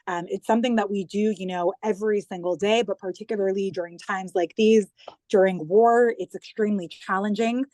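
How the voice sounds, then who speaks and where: A woman's voice: mid-range voice, steady tone, brisk, mellow, dry recording. An American woman, probably in her thirties, speaking as an interview guest over a video call. She talks earnestly and fluently, stressing her points and running through lists with ease. The sound is dry and close, with no room around her voice. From New York, United States. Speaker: guest, government digital communications head.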